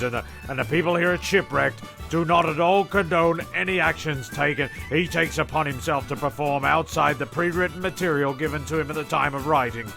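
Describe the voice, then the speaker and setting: A man's voice: a little high, gravelly, sharp tone. A man playing a shifty, self-pitying narrator in a comic radio play, rambling and hesitant.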